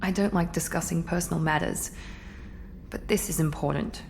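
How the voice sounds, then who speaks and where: A woman's voice: on the low side, normal speed, vocal fry, darkish tone, a little hiss or hum. A proud, guarded operative in a sci-fi game. She speaks, formal and tightly controlled, over faint ship ambience. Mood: guarded, serious. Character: proud, guarded, genetically engineered female operative. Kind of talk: video game character acting.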